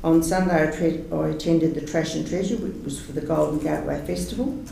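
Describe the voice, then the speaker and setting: A councillor with a gravelly, mellow voice talks his way through a report, full of fillers and restarts. He sounds distant, caught across a big, very echoey meeting room with a faint hum behind. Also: on the high side, fairly even intonation, a little fast. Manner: rambling, earnest, with fillers.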